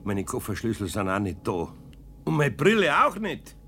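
A man's voice: medium pitch, sing-song, normal speed, pushed and bright, a little hiss or hum. An actor playing a kindly, grumbling older man with a Bavarian accent in a 1970s children's radio play. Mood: exasperated.

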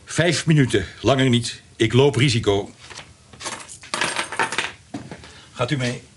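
A man's voice: mid-range voice, normal speed, a little hiss or hum, natural voice. A man in a radio drama playing a stern, formal and wary prison warden who can be bribed. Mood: grudging, firm.

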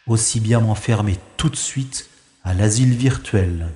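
A man's voice: lowish voice, measured pace, dark tone, breathy edge. A man acting a bored, cynical special agent in a science-fiction audio drama, with sardonic, world-weary complaining. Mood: bored, grumbling.

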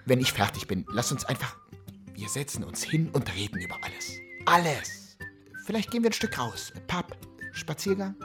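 A man's voice: on the high side, huge pitch swings, moderate pace. An actor playing a harried husband who coaxes and pleads with his wife in a radio drama. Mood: pleading. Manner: coaxing, pleading. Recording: music under the voice. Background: music.

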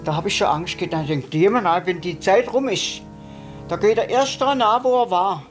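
Older woman as documentary witness: mid-pitched, colloquial and unpolished, speaking over music. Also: moderate pace. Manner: colloquial, plain-spoken. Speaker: interviewed witness. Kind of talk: informal interview recollection.

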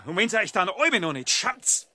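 An actor playing a loyal, excitable Bavarian police sergeant in a radio drama, speaking dialect in a halting, stammering way. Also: male voice, high voice, heavily acted. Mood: stubborn, dutiful. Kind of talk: German radio crime drama acting in dialect.

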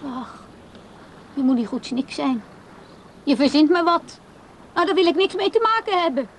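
High-pitched young woman, teasing, probing, blunt, radio drama, light background noise. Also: expressive, normal speed. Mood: dismissive, blunt.

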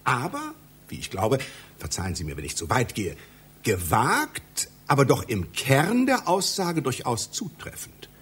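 A man's voice: mid-range voice, wildly swooping pitch, unhurried, fairly bright tone, breathy edge, heavily acted. An actor playing an obsessive, pedantic middle-aged male scholar in a satirical radio play, lecturing in a fussy, declamatory way. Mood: grandly lecturing. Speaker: literary scholar with a doctorate.

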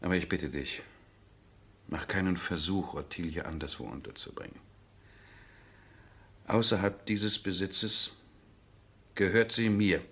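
An actor in a 1970s German radio drama playing a stubborn, lovesick aristocratic husband with formal, deliberate phrasing. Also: male voice, low-pitched, fairly bright tone, slightly rough, exaggerated voice. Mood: resolute, wounded. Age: middle-aged.

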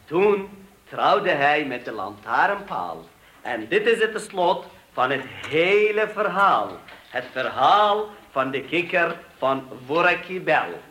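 A bright, high-pitched man tells a children's tale in a playful, theatrical manner. He takes his time, and his voice rings in an echoey room. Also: sing-song, takes it slowly. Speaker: storyteller. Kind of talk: expressive children's verse storytelling. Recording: echoey room with faint noise.

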